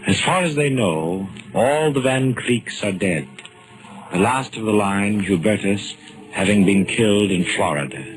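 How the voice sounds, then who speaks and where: Low-pitched male radio mystery narrator. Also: lively intonation, a little slow, over music. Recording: noisy old 1940s radio recording.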